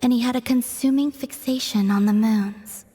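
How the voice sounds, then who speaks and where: A woman voicing a calm, detached and mysterious young woman who speaks softly and formally. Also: on the high side, moderate pace, background noise, lightly acted. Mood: serene. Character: serene, mysterious young woman, a former Fatui agent. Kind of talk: game cutscene voice acting.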